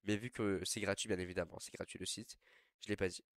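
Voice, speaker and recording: A man's voice: sits low, quick pace, no room sound, studio quality. A young man with a cold talking through a casual, rambling screen tutorial, full of fillers. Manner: relaxed, rambling with many fillers. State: stuffy from a cold. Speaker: tutorial host.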